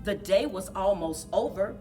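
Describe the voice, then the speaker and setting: A woman reading a story aloud to young children at a library story time, in an expressive, deliberate manner. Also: medium pitch, far from the mic, soft background music. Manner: expressive, deliberate, sing-along encouragement.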